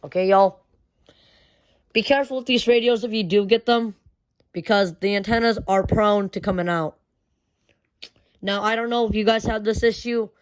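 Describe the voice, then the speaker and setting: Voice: mid-range voice, fairly even intonation, normal speed, long pauses, clean tone, rough recording. A young person giving a casual, unscripted show-and-tell as a hobby vlogger, with slangy, repetitive phrasing and some mild swearing. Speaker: vlogger showing a weather radio collection.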